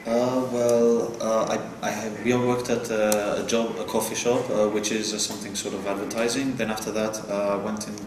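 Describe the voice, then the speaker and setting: Teenage boy playing earnest male job applicant, halting delivery, monotone, noisy echoey recording, school role-play. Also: on the low side, lightly acted. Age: teenage student. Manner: hesitant, frequent restarts. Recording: echoey room with clear noise.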